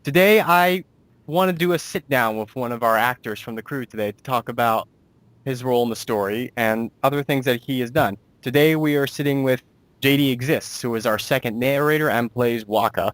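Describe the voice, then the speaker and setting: A man's voice: medium pitch, a little fast, a bit bright, dry recording. A man hosting a casual interview as a director, explaining things in a rambling way and restating himself. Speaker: interviewer and director of an amateur audio drama.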